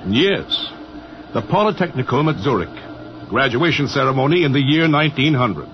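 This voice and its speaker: A man's voice: medium pitch, expressive, normal speed, close to an everyday voice. An actor playing a courteous, businesslike male physicist who gives precise instructions, in 1950s radio drama style. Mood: instructive, resolute. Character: brisk, courteous, businesslike male physicist running a time machine. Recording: dull, noisy old radio recording.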